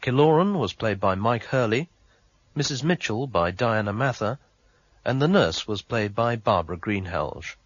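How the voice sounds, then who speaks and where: A man acting as closing announcer, reading the credits in a measured, formal way. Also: on the low side, no room sound. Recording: dull lo-fi broadcast with faint music.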